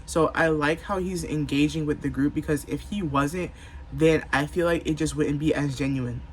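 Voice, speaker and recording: A man hosting a reaction video who comments loudly and chattily, playing things up for the camera. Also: on the high side, fairly even intonation, moderate pace, a little hiss or hum. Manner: chatty, loud, exaggerated for the camera.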